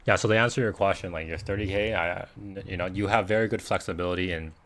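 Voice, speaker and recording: A man with a deep, low voice hosts a casual livestream, answering questions as he goes. His first language is Cantonese, and he rambles through loose, conversational sentences full of "you know" and "right?". He speaks into a desk mic. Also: fast, a bit bright, a little hiss or hum. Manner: rambling, conversational, many fillers and restarts. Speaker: investing livestream host. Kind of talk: casual live Q&A chat.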